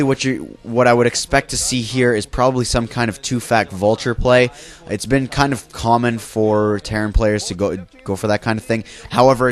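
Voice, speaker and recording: A young man with a flu, sounding nasal and congested, giving loose play-by-play commentary on a video game match with frequent fillers and self-corrections. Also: on the low side. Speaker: esports caster.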